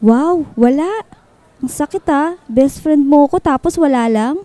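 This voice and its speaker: A woman playing a playful, teasing young student best friend in a radio drama, with a Filipino accent and an expressive, chiding delivery. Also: high-pitched, unhurried. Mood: teasing, curious.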